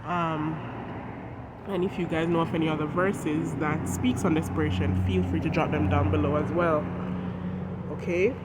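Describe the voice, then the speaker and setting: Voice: mid-range voice, fairly even intonation, normal speed. A host with a head cold, sounding congested, giving an earnest devotional talk that reads scripture and speaks directly to viewers. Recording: noisy outdoor traffic background.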